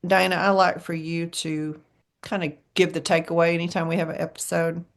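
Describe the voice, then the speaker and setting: Woman host, lowish gravelly voice, chatty and quick to laugh. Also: level tone. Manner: chatty, laughs often, trails off. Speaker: podcast host. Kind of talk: casual chat.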